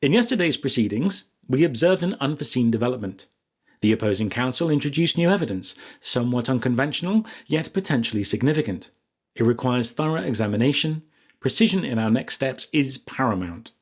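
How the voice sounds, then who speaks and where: A man acts out a British lawyer with a measured, analytical temper. The speech is clipped and formal, precise in every phrase, with a slightly husky edge. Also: medium pitch, expressive, close to an everyday voice. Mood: measured gravity.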